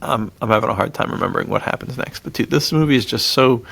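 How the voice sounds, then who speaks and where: A man's voice: mid-range voice, fast talker, creaky, a bit bright. A man co-hosting a podcast, retelling film plots in a casual, enthusiastic and slangy way. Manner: enthusiastic, chuckles as he talks, slangy. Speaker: podcast co-host.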